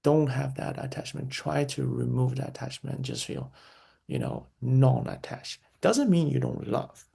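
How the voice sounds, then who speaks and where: Guest voice: man, very gravelly and husky, earnest and reflective, circles back and repeats himself. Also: medium pitch, very animated, unhurried, mellow. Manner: earnest, repeats key phrases, frequent restarts. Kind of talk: reflective interview answers.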